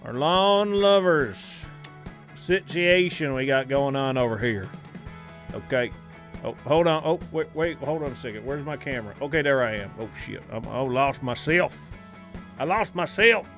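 A man's voice: mid-range voice, animated, normal speed, fairly bright tone, faint music behind. A man livestreaming a game in casual, rambling chat, repeating phrases and swearing freely, with a put-on folksy hillbilly drawl.

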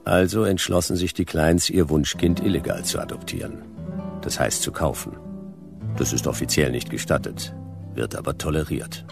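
Very deep, gravelly detective. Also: male voice, moderate pace, music in the background. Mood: cynical. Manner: deadpan, terse fragments. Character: laconic world-weary male private detective, narrating. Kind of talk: hard-boiled radio drama narration.

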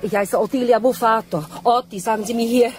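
An actress playing a nervous, apologetic waitress in a radio crime drama, stammering and flustered. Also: female voice, fairly high pitch, steady tone, fairly quick, a little mellow, soft background music, natural voice.

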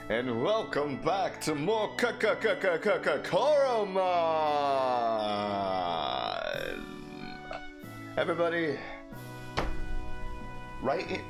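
A man in his twenties hosting a let's play, with energetic, goofy gameplay commentary. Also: medium pitch. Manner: energetic, goofy, quick reactions. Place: small home room. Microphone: studio mic on a boom stand. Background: game music.

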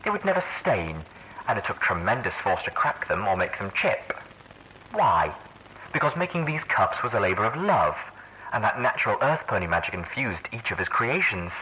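A man puts on a smug mare overseer for an audiobook. The voice is silky and condescending, animated in pitch, with faint noise on the recording. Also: moderate pace. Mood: smug storytelling. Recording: faintly noisy old audio log.

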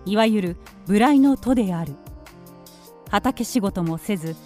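A woman's voice: mid-range voice, expressive, unhurried, darkish tone, soft background music. A woman reading scripted dramatic narration as the narrator of a radio play.

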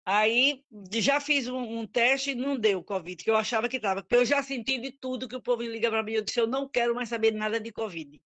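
A woman's voice: on the high side, a little fast, few pauses, fairly bright tone. A middle-aged woman talks over an audio-only video call from home. Her speech rambles and halts, with a worried tone. At times she drifts away from the microphone. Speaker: group participant. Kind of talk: casual chat on a video call.

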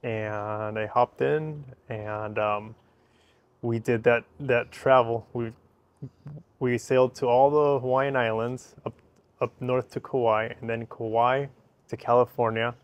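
Slow-talking man with an Ecuadorian Spanish accent. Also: mid-range voice, steady tone, no room sound, highs cut off. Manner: short simple sentences, repeats phrases. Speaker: interview guest. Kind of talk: casual interview. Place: covered outdoor patio by a fireplace.

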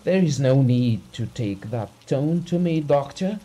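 A man voicing a gentleman who begs a doctor for help in an imploring, flowery, stilted storybook manner. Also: medium pitch, expressive, measured pace, voice pushed up, rough recording. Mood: insistent, emotional. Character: well-off gentleman desperately begging a doctor for help.

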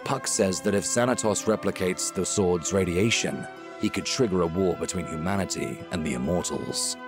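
A man's voice: low voice, moderate pace. A man reading a scripted, dramatic recap as the announcer of an audio series. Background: music.

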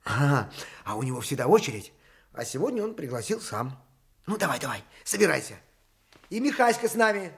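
A man's voice: a little high, sing-song, fairly quick. An actor playing an eager, jovial husband and father in a radio play, informal and coaxing. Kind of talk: Soviet radio play acting.

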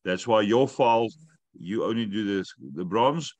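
A middle-aged man, not a native English speaker, talks through a video call from home in a fairly low, dry-sounding voice. He jokes with the group and then explains the steps at length. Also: normal speed, darkish tone, no room sound. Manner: talkative, joking, instructive. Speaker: host of a weekly update call. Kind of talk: casual video call chat. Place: room at home.

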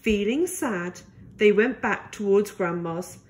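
A woman's voice: medium pitch, takes it slowly, a little mellow, a little hiss or hum. A woman narrating a children's picture book aloud as a storyteller.

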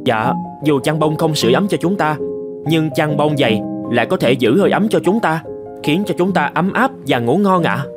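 A man's voice: fairly high pitch, moderate pace, over music, lightly acted. A man voicing a young novice monk in a cartoon folk tale, polite, earnest and deferential to his master. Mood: respectful. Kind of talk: animated folk tale dialogue.

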